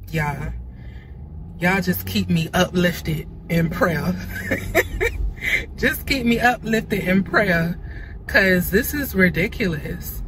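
A woman's voice: lowish voice, background noise. A woman in her thirties chatting casually to her vlog viewers from a parked car, sighing and laughing between thoughts. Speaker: vlogger. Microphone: phone camera on dashboard.

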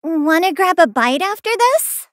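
A woman's voice: extremely high pitch, sing-song, a little slow, dry recording, clean studio sound, exaggerated voice. An American actress voicing a feisty, confident teenage girl martial artist with brash, emphatic delivery for an anime fighting game. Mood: confident, friendly.

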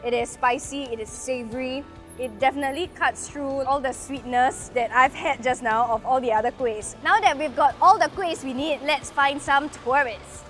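A young woman in her twenties from Singapore with a Singaporean English accent, hosting a food vlog outdoors in a lively, chatty way. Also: high voice, moderate pace, soft background music. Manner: lively and chatty, savoring bites. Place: outdoors on city streets.

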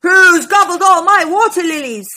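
A woman voicing a hungry, indignant plant-eating dinosaur in a children's story, loud and exclaiming. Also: very high voice, animated, moderate pace, pitched above the performer's own voice, heavily acted. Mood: roaring, angry.

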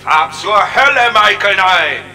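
A man's voice: high voice, animated, slow pace, exaggerated voice. A man playing a vengeful, hot-headed villain with a menacing delivery in a German audio drama. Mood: enraged. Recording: loud music and engine noise behind.

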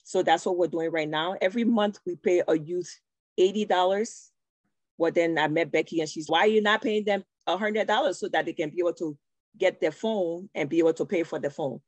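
A woman's voice: lowish voice, brisk, darkish tone, no echo. Middle-aged woman from liberia on a video call, earnest storyteller, dry close sound. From Liberia, West Africa. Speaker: nonprofit founder, panelist. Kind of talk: personal introduction on a video call.

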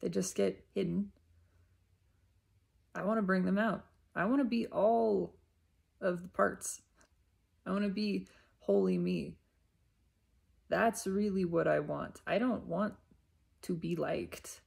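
A woman speaks in an unhurried, reflective monologue, as if thinking aloud. Her voice is husky with a lot of fry at the edges. She breaks her thoughts into short phrases and repeats them deliberately. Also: medium pitch, darkish tone. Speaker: self-reflective spiritual vlogger. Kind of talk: unscripted reflective spiritual monologue.

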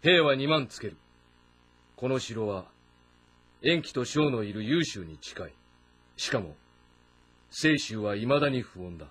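A man playing a proud, brooding middle-aged warlord in a historical game drama, speaking with deliberate authority. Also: mid-range voice, expressive, fairly bright tone, breathy edge. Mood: stern. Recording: voice over background music.

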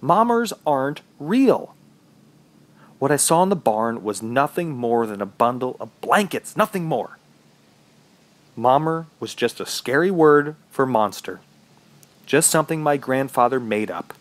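An actor playing a trapped, injured man fighting to survive, telling his story in a horror audio drama with a husky, dramatic, self-questioning delivery. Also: medium pitch, sing-song, measured pace. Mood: doubtful, uneasy. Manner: dramatic, self-questioning, swinging from muttering to shouting. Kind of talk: first-person horror audio drama narration.

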